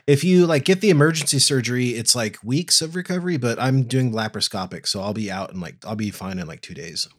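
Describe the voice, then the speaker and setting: A man's voice: mid-range voice, quick pace, hardly any pauses. A man co-hosting a podcast in casual chat, joking and swearing freely in rambling asides. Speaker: podcast co-host.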